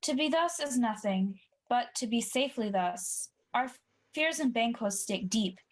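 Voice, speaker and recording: A woman's voice: fairly high pitch, animated, measured pace. A young woman acting an ambitious, guilty usurper king in a Shakespeare radio play. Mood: brooding. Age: young adult. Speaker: student actor.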